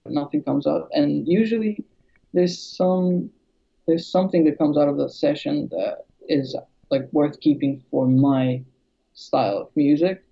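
A man's voice: a little high, unhurried, gravelly, dark tone. A man from Albania, a music producer and YouTuber, chatting casually with many fillers and restarts. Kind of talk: casual conversation. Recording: dull lo-fi sound.

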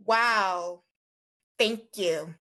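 A woman's voice: mid-range voice, very slow, pushed and bright. A young woman co-hosting a casual podcast, blunt and dry as she tells stories. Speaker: podcast co-host.

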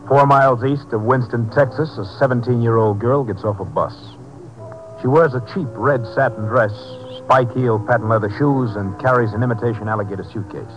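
A man's voice: mid-range voice, a little fast. A man narrating a scripted 1950s radio drama. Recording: muffled old-time radio recording. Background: music.

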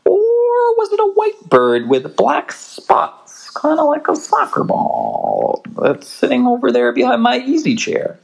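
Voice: high voice, wildly swooping pitch, unhurried, vocal fry, slightly rough, voice pushed up, heavily acted, rough recording. A storybook reader voicing a father bear who is confused and asks question after question. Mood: puzzled. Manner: puzzled, questioning. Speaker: storybook character voiced by the reader. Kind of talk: storybook reading aloud.

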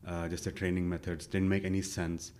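Young male guest from India, deep voice, easygoing storyteller. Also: fairly quick. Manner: relaxed, with many fillers and self-quotes. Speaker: interview guest. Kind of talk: casual conversational storytelling.

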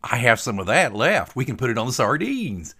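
A man's voice: medium pitch, very animated, fairly quick, bright, forceful tone, slightly rough, voice pushed up, full character voice. A man voicing a blunt, breezy boat-owning buddy who needles his friend in colloquial talk, in a narrated story.

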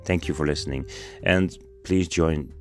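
A Dutch man hosting a podcast, speaking slowly in halting English with many pauses. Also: a bass voice, over music. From Netherlands. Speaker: podcast host. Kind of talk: casual podcast talk and street interview. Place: outdoors at a city square monument.

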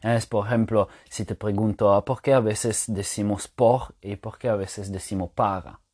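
A man whose first language is French talks through a language point in a low voice. He explains patiently and thinks aloud, often pausing on filler sounds and restarting words. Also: steady tone, a little mellow, breathy edge. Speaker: language teacher podcaster. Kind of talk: casual spoken lesson to listeners.

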